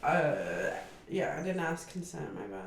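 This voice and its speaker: A woman's voice: low-pitched, big pitch swings, unhurried, creaky, slight background noise. A woman in her twenties chatting casually as co-host, rambling with many fillers and frequent laughter. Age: 20s. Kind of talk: casual chat. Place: shared house, indoors. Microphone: table microphone. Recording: echoey room, off-mic.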